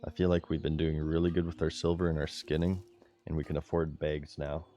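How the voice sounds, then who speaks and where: A man with a very low, deep voice talks casually through a game stream, giggly and relaxed as he chats with viewers. Faint music plays behind him. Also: a little fast, dull audio. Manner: giggly, laid-back, chatting to viewers while playing.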